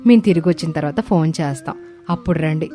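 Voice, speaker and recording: A woman voicing a shrewd aunt who feigns sweet regret, expressive, in an animated moral story. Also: deep voice, fast talker, faint music behind, natural voice. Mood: sly politeness.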